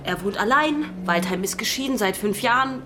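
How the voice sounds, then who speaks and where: A sharp-tongued policewoman in a radio play, played by an actress. She is dry, ironic and challenging in a medium-pitched voice, with music clearly playing behind her. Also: lively intonation, normal speed, natural voice. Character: sardonic, sharp female police detective, exasperated. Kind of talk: naturalistic radio drama acting.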